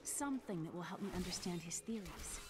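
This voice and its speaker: A woman's voice: fairly low pitch, animated, a little fast, noisy background, lightly acted. A woman playing an earnest, thoughtful young female scholar in a fantasy video game. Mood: earnest, reflective.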